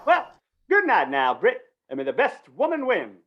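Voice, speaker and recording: A slippery fair organizer from a western radio play , played by a woman. The pitch leaps about dramatically as the character coaxes and falsely reassures in a folksy, wheedling way. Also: wildly swooping pitch, normal speed, dull audio. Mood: insistent. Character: wheedling, slippery male small-town fair organizer, fast talker. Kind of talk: old-time western radio drama acting.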